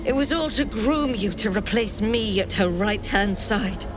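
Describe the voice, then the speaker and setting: A woman's voice: medium pitch, animated, a little slow, close to an everyday voice. A woman playing a proud, bitter cult leader and cruel mentor in a fantasy game, speaking in a grandiose, taunting way. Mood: solemn, unrepentant. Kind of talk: theatrical fantasy game acting. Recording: music playing behind the voice.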